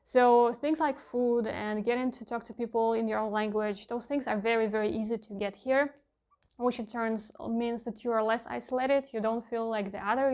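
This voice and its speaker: A young woman whose first language is Russian, talking candidly in a casual vlog and often pausing with fillers as she hunts for words. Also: fairly high pitch. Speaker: vlogger.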